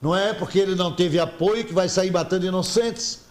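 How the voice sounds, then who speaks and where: A man's voice: high-pitched, a little fast, reverberant. A man hosting a TV panel debate, reading viewer messages aloud and pressing his guests with pointed questions. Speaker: TV talk show host. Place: TV studio.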